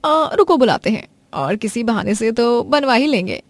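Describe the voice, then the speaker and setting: A woman's voice: on the high side, sing-song, moderate pace, dry recording. Bitter, scolding mother-in-law, put on by a woman, dry close sound. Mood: indignant. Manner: scolding, contemptuous. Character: harsh scornful middle-aged mother-in-law.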